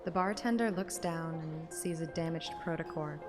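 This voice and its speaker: A woman's voice: sits low, normal speed. A woman streamer reading a game story aloud like an audiobook. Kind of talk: dramatic narration with casual asides. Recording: loud music behind the voice.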